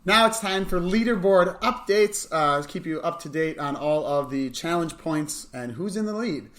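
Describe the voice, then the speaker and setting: A man's voice: on the high side, lively intonation, normal speed, fairly bright tone. A man co-hosting a morning show with casual, upbeat announcements. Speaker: show host.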